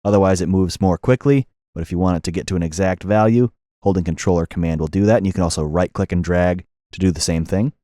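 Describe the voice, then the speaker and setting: Male tutorial instructor, low register, methodical and explanatory delivery, close dry studio voice-over. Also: fast, dark tone, no room sound. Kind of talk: software tutorial narration.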